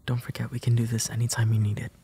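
A man acting a gentle, protective big brother in an intimate comfort roleplay audio, tender and hesitant, with a breathy, soft delivery. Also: mid-range voice, monotone, very fast, slight background noise, natural voice. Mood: soothing, calming. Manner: hesitant, tender, soft-spoken, often dropping into breathy whispers.

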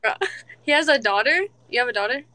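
Brief: young woman guest, fairly high voice, nosy questions, casual. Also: lively intonation, bright, forceful tone, no echo, low-quality recording. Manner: curious, quick questions. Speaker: livestream guest. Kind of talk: casual livestream chat. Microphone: phone livestream.

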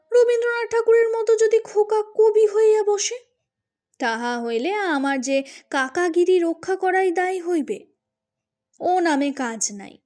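A woman's voice: high voice, a little mellow. A woman reading a literary story expressively, as an audiobook narrator. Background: music.

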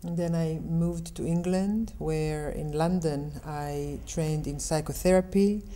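A middle-aged woman from Israel answers interview questions at an unhurried pace. Her voice sits low and sounds mellow. She explains things in a calm, measured way. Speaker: interview guest.

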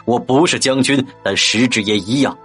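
An actor playing a composed, resolute male leader, grave and measured, in an audio drama. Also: mid-range voice, fairly bright tone, breathy edge, faint music behind. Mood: grave resolve.

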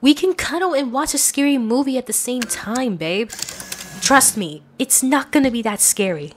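A woman's voice: high voice, expressive, normal speed, slight background noise. A woman performing a girlfriend roleplay for listeners, coaxing and affectionate. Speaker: ASMR roleplay creator.

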